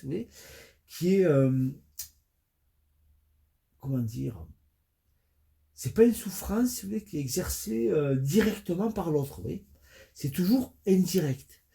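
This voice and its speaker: A man with a cold and a stuffy nose, talking as a spiritual coach in a halting audio monologue full of fillers and restarts. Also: fairly high pitch, big pitch swings, creaky, mellow. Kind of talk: hesitant spoken audio monologue.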